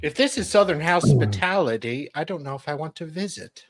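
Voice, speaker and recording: A wisecracking woman chats as a live stream panelist. Her voice sits fairly low and swings up and down with very animated intonation. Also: a little fast. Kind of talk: casual banter.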